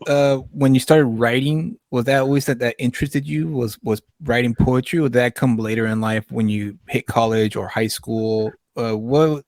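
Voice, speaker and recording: Man, podcast host, mid-pitched voice, dry close sound, curious questions, quick acknowledgments. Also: a little mellow, no room sound. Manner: curious, prompting, with short backchannels. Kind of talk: casual interview questions.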